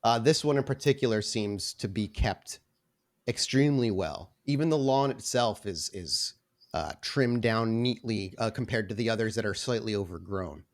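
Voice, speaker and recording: A man working as game master, improvising descriptive narration for a tabletop role-playing game in a halting, deliberate way. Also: fairly low pitch.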